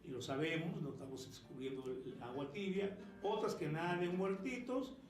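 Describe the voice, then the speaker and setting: A man's voice: fairly high pitch, moderate pace, a little mellow, echoey room. A middle-aged or older man from Peru who has long lived in Mexico, explaining things earnestly and emphatically as an interview guest. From Peru, long resident in Mexico. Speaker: interview guest, human rights lawyer.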